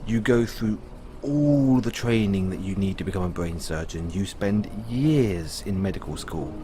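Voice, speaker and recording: A man playing a patient owner talking to his android in an audio roleplay, explaining in a musing way with pauses. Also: low-pitched, animated, warm tone, slight background noise. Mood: musing, explanatory. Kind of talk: audio roleplay, conversational storytelling.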